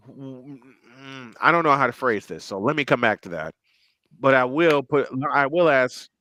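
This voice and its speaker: Bright excited play-by-play man. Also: a little high, no echo. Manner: excitable, rises sharply on big plays. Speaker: play-by-play commentator. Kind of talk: live game commentary with banter.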